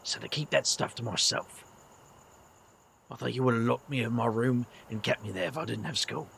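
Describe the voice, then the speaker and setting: An actor playing a weary, kindly middle-aged British magician with a gravelly voice and a slangy, wry way of talking in an audio drama. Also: male voice, mid-range voice, normal speed, fairly bright tone, slight background noise. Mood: wistful.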